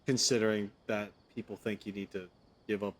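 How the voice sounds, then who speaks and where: A man's voice: on the low side, flat delivery, brisk, breathy edge. A man streaming a game with casual let's-play commentary, rambling as he thinks aloud. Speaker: gaming streamer.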